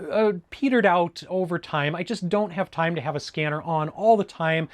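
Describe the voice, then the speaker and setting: A middle-aged man from Alaska with an American accent, talking casually and informatively as a hobbyist video host in a conversational, explanatory way. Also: high voice. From Alaska, United States. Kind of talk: casual informative vlog talk.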